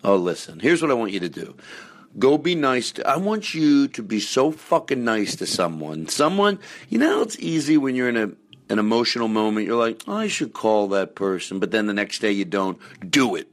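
A man's voice: lowish voice, sing-song, normal speed. Comedy podcast host, a man, goofy and earnest by turns, casual delivery. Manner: goofy, playful, earnest asides.

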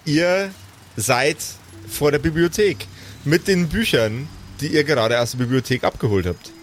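Slightly high-voiced male game master, some noise. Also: animated, a little slow. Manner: teasing. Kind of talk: casual improvised tabletop narration and banter.

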